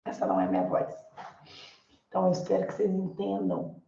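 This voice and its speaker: A Brazilian woman teacher with a hoarse voice from a viral illness, lecturing informally in an online class, full of fillers and tag questions. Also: lowish voice, big pitch swings, brisk, warm tone. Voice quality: hoarse, gravelly. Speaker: Portuguese teacher. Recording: echoey, dull lo-fi room sound.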